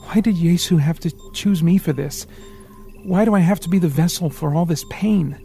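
A man's voice: fairly high pitch, a little fast, darkish tone, faint music behind, voice pushed up, close to an everyday voice. A man voicing a troubled, guilt-ridden young man who speaks in a strained, trembling, pleading way. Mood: anguished.

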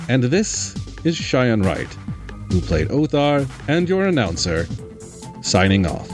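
A man playing a radio serial announcer, reading a scripted sign-off over music. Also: mid-range voice. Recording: music bed behind.

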